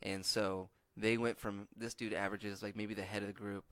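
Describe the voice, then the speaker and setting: A man's voice: fairly low pitch, level tone, normal speed, a bit bright, dry recording. A man chatting casually as a podcast co-host, rambling and restarting, with a lot of swearing. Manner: rambling storyteller, frequent restarts and profanity. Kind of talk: casual chat.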